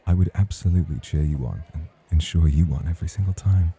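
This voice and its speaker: A man's voice: sits low, quick pace, warm tone, husky, exaggerated voice. A man acting in an ASMR roleplay as a suave, teasing club host, formal and flirtatious in his way of talking. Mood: playful protest.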